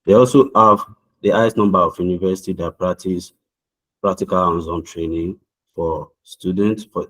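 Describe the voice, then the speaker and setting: A man's voice: low voice, a bit bright, breathy edge, low-quality recording. A young man from Nigeria with a Nigerian accent, answering mock visa interview questions over a video call in an earnest, formal way, often restarting his words.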